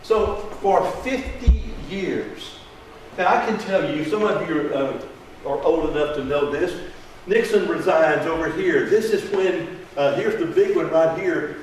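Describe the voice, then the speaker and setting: A man's voice: fairly high pitch, a little slow. Folksy man teaching in an echoey hall. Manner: folksy, asks the audience questions, emphatic. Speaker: financial advisor teaching a seminar. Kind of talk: classroom presentation. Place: large echoey room. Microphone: room mic from a distance. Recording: echoey room, distant mic, faint hiss.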